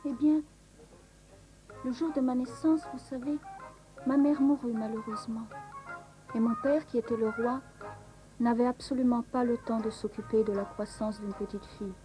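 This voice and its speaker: A woman's voice: a little high, steady tone, normal speed, pauses often, natural voice, rough recording. A woman playing a sweet, polite young princess in a dubbed children's cartoon. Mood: plaintive. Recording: voice over clear background music.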